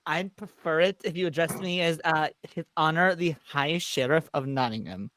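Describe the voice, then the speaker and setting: A woman's voice: deep voice, normal speed, fairly bright tone, no echo. A woman voicing a smug, taunting male trickster who poses as a sheriff, with theatrical mock courtesy, in a live script read. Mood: haughty. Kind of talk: live amateur script reading.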